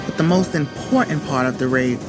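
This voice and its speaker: A woman's voice: a bass voice, fairly quick. Woman narrating a story over music. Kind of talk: scripted storytelling narration for children. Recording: music under the voice. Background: background music.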